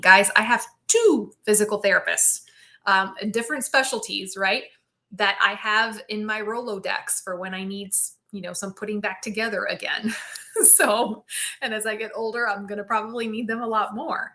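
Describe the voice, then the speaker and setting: Woman, middle-aged, live stream host, physical therapist, bright crisp tone, big projected voice, chatty teaching. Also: medium pitch. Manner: enthusiastic, frequent "right?" check-ins; projecting loudly without her microphone. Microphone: built-in device mic.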